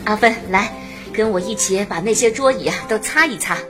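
An actress playing a warm, dutiful middle-aged canteen worker and mother in a radio drama, chatty and earnest. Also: medium pitch, fairly quick, over music, natural voice. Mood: friendly, busy. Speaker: narrator and lead character. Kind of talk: radio drama acting with first-person narration.

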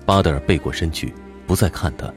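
Very deep male dramatic narrator. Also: brisk, soft background music.

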